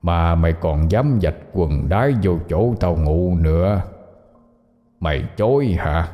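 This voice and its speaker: A man voicing a menacing, mocking demon in a horror story, hoarse and rasping, taunting in a Southern Vietnamese accent. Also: low voice, lively intonation, in a large hall, dull audio, voice pushed down. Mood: menacing, gloating. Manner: slow, drawn-out taunting with crude insults. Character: gloating male long-necked demon, menacing and mocking.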